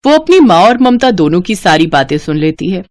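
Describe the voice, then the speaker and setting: A woman's voice: lowish voice, sing-song, fairly quick, a little mellow, no room sound. Woman narrating a story, dry close sound.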